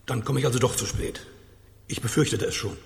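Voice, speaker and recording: An actor playing a polite, formal, concerned French lawyer in a German radio crime drama, speaking in a measured, grave way. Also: male voice, medium pitch, vocal fry, close to an everyday voice. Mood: apologetic, grave. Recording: long echoing hall reverb.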